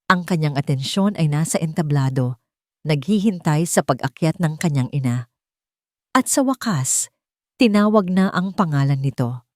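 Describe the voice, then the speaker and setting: A woman reading scripted dramatic narration as a storyteller, in a measured, deliberate manner. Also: deep voice, darkish tone, no echo, clean studio sound.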